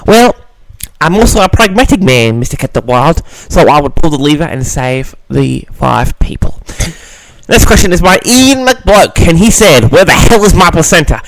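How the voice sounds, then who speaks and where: A man with a fairly high voice and very lively, swinging intonation hosts a casual question-and-answer log. He reads questions aloud and replies theatrically, with a mock-grand air, addressing his listeners directly. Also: normal speed, dry recording, poor audio. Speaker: host of an audio log answering audience questions.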